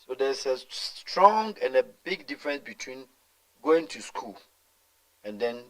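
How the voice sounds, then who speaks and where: A man's voice: mid-range voice, sing-song, measured pace, fairly bright tone, poor audio. A middle-aged Ghanaian man answering in an interview, talking emphatically and mixing in Twi, with stumbles and repeated words. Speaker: guest.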